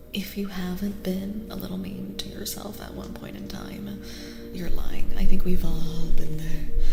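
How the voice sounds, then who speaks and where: A woman livestream host doing soft-spoken ASMR, chatting casually and soothingly with viewers, often half whispering and repeating short phrases. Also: lowish voice, vocal fry, reverberant. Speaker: livestream host reading tarot for chat. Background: music.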